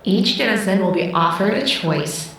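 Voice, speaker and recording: A controlling, childlike house computer acted by a woman in an audio drama. She speaks formally and coldly, with lively swings of pitch, in a heavily echoing space away from the mic with faint noise. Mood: stern. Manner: formal, measured, coldly commanding. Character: controlling childlike female smart-house artificial intelligence. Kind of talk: scripted audio drama acting. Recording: roomy echo, distant, faint noise.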